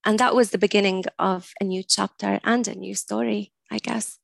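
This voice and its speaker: A woman in her forties from Syria, an academic guest in a podcast interview, speaking in a thoughtful, measured way with frequent ums. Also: mid-range voice, steady tone, creaky, dry recording, clean studio sound. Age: 40s.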